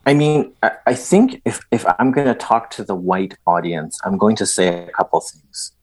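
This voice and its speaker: A middle-aged man from El Salvador, a guest in a conversational interview, talking in an animated way with frequent restarts and emphatic asides. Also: on the low side, normal speed, breathy edge.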